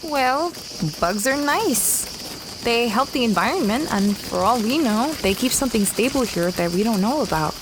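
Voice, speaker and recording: A woman playing a kind, curious space explorer who narrates and talks gently and earnestly in an audio drama. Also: on the high side, expressive, normal speed, natural voice. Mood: chiding. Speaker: narrator and lead character. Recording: insect-filled jungle noise behind.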